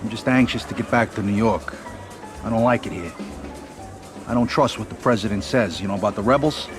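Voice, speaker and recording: An actor playing an uneasy mob lieutenant who talks in a worried, wary way. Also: male voice, fairly low pitch, brisk, over music, close to an everyday voice.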